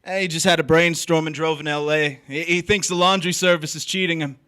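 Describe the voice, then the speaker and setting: A man's voice: fairly high pitch, steady tone, brisk, bright, forceful tone, clean tone. An actor playing a cocky drifter in a stage drama, needling and flirting with a married woman. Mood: flirtatious. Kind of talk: stage drama performed online, with first-person narration.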